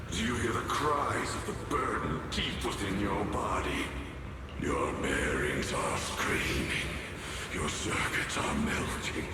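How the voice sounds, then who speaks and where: An actor voicing a solemn, commanding male game character with grave, declamatory delivery. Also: very noisy. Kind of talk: dramatic game voice acting. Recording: echoey, distant, loud rumbling ambience behind.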